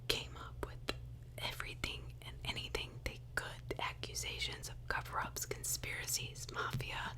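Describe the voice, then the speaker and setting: Whispering woman, ASMR storyteller. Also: low-quality recording. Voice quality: breathy, unvoiced whisper. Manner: conversational, opinionated asides with rhetorical questions. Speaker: ASMR true crime storyteller. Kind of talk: whispered ASMR true crime narration.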